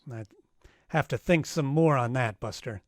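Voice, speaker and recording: A man's voice: mid-range voice, normal speed, dry recording, close to an everyday voice. A man playing a wry, hard-boiled private detective in 1940s radio style, dry and wisecracking. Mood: sardonic. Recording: live-streamed radio play with music underneath.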